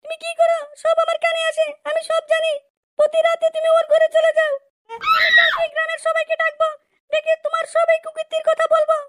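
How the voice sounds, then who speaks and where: A woman voicing an aggrieved young village wife in an amateur comedy cartoon, squeaky and scolding in an indignant, complaining way. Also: monotone, brisk, fairly bright tone, raised voice. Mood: hurt, angry. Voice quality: squeaky, artificially high-pitched.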